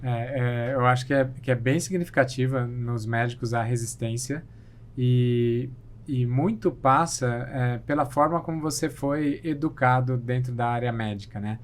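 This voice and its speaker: A man's voice: mid-range voice, moderate pace. A Brazilian man, a surgeon guest on a podcast, answering thoughtfully with frequent restarts. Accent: Brazilian Portuguese. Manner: thoughtful, hesitant, many restarts and fillers. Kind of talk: interview answers.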